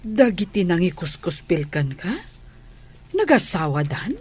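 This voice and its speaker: A woman playing a gentle, curious, proud mother with a husky voice and Ilocano accent in a radio drama. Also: on the low side, sing-song, unhurried, heavily acted. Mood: curious, musing.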